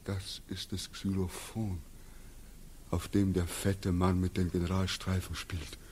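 A man's voice: sits low, little pitch movement, a little slow, slight background noise. An actor playing a tormented returning soldier in a 1940s radio drama, theatrical and obsessive as he recounts a nightmare. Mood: feverish, insistent. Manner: theatrical, obsessive, swinging from hushed murmurs to frenzied cries. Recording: muffled, husky 1940s radio recording.

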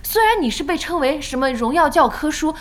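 A woman's voice: sits high, expressive, fairly quick. An actress playing a spirited, bossy young female internet cafe owner in a Chinese audio drama, speaking frankly and expressively. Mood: incredulous.

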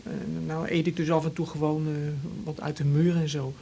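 A man's voice: on the high side, flat delivery, gravelly, mellow. Shy hesitant young man, faint background noise. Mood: embarrassed, aggrieved. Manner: hesitant, halting. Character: shy, troubled young man cowed by his mother. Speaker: patient in a radio serial. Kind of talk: naturalistic radio drama acting.

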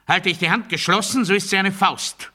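Pompous paranoid leader making a speech, very sharp tense voice, kinda high, radio play oratory. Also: male voice, fairly quick, heavily acted. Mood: stern, self-assured. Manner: declamatory oratory, swinging from loud proclamation to hushed confiding. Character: pompous, paranoid newly chosen male ruler addressing his people. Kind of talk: theatrical German radio play monologue.

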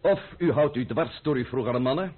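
An actor playing a cunning, menacing count in a Dutch radio play, silky and mockingly polite. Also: male voice, medium pitch, animated, moderate pace, husky. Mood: menacing, commanding. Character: cunning, menacing aristocratic count, coolly sardonic schemer. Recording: thin, phone-like old radio play recording.

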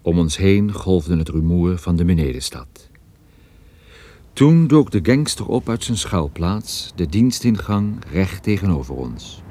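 An actor playing a hard-boiled private detective who narrates a radio play in a dry, wry, laconic way. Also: male voice, low-pitched, very animated, unhurried, mellow, a little hiss or hum, full character voice. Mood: grim suspense. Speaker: narrator and protagonist.

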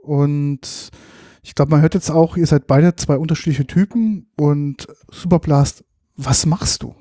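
A man hosting a podcast in a studio, with a mellow, somewhat high voice. He asks short, playful questions in a relaxed interview, and the sound is close and dry. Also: measured pace, no echo. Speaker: podcast host. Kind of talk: casual interview.

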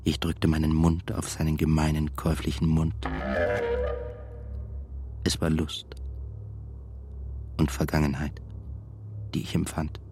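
An actor playing a tormented, melancholy man in a radio play monologue, brooding and deliberate, at times nearly whispering. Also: very deep voice, level tone, warm tone, faint music behind. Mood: disgusted, self-loathing. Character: tormented, melancholy man confessing desire and self-loathing. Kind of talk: literary first-person monologue in radio play.

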